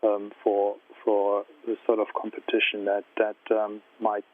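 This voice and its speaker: Low male analyst on tinny phone line. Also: monotone, measured pace. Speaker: financial analyst calling in. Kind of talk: asking questions on a conference call.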